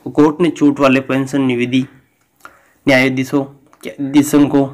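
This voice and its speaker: A man hosting a news channel, reading a bulletin aloud in a flat, halting way. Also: mid-range voice.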